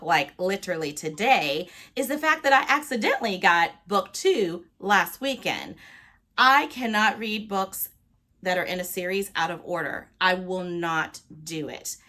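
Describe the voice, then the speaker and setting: Expressive, chatty woman book vlogger. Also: on the low side. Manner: expressive, playful, emphatic. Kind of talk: casual, chatty talk to viewers.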